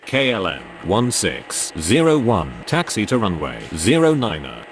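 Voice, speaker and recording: A man plays an air traffic radio operator in a simulation game. The voice is very low with strongly swinging intonation, and the phrasing is clipped and formulaic. Faint vehicle noise sits behind it. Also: huge pitch swings, measured pace. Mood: businesslike. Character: procedural male pilot and air traffic controller. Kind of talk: scripted aviation radio calls.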